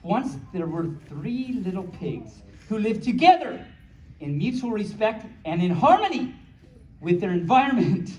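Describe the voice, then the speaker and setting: A playful storyteller reading picture books aloud to a small audience, with childlike, cutesy phrasing and excited asides. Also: medium pitch, unhurried. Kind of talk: playful live story time with ad-libbed asides. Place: small room at a convention. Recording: echoey room from far off, faint noise.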